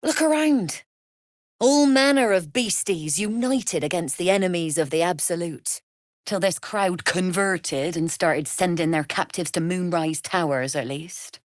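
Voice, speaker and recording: Wry female smuggler, acted by a woman, lively intonation. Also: mid-range voice, no echo, clean studio sound, close to an everyday voice. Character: wry, shrewd female smuggler-merchant, coolly amoral. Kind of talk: video game character acting.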